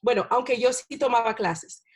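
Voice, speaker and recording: A woman, a non-native Spanish speaker, talking as a guest about teaching in a relaxed interview, with many fillers and tag questions. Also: a little high, normal speed, slightly rough. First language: not Spanish. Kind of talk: casual interview talk.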